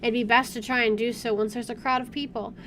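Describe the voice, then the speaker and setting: Bright high-voiced woman reading game narration. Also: steady tone, fast, slight background noise. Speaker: let's player reading a visual novel aloud. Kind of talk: expressive read-aloud narration of game text. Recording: music playing behind the voice. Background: game music.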